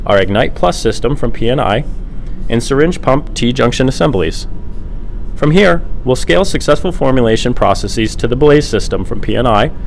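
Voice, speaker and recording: A man in his thirties walks listeners through a scientific lab in a steady, formal presenter's voice, reading out technical equipment names evenly. His pitch sits in an ordinary middle range. A faint hum runs underneath. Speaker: business development director giving a lab tour. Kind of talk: scripted lab tour presentation.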